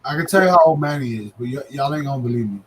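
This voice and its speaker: A man in his thirties co-hosting a casual livestream, joking and chattering fast with his friends and the chat. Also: mid-range voice, expressive, slight background noise, low-quality recording. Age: 30s. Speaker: livestream co-host.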